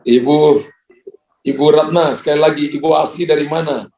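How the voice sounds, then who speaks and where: A pastor with a gravelly, slightly high man's voice, speaking prophetic blessings and then joking gently with the people who call in. The sound carries a noticeable room echo. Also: fairly even intonation, brisk. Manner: warm, joking pastoral banter with callers. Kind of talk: prophetic prayer and ministry to callers.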